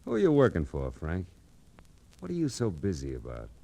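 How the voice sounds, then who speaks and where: Shady American heavy, very deep voice, highly animated pitch, gruff needling manner, old-time radio crime drama. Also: male voice, normal speed, heavily acted. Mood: irritated. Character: shady, guarded tough-guy racketeer businessman.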